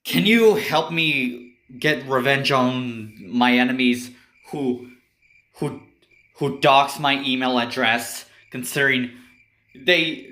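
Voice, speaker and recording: Casting: male actor as whiny, aggrieved cartoon guy, bright forceful tone, defensive, slow-paced. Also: mid-range voice, echoey room. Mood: pleading, aggrieved. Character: aggrieved, whiny male internet troll-feeder seeking revenge. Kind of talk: parody cartoon dub.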